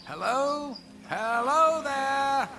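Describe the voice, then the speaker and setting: Confused polite husband, stammery, gravelly, swoopy pitch, radio play. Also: male voice, lively intonation, extremely slow, exaggerated voice. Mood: friendly. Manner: hesitant, stammering. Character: polite, bewildered husband confronted by a stranger. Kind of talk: radio drama acting. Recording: music under the voice.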